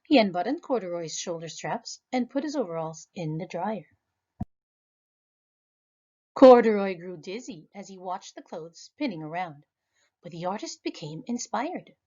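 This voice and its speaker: A woman, a preschool teacher, reading a children's picture book aloud in a lively, expressive way. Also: measured pace, husky, dull audio. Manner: animated, high voices for characters. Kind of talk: children's storybook read-aloud.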